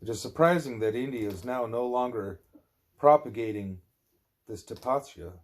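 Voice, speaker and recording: A man reading a spiritual discourse aloud as an audiobook narrator, with deliberate, measured phrasing. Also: medium pitch. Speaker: audiobook reader.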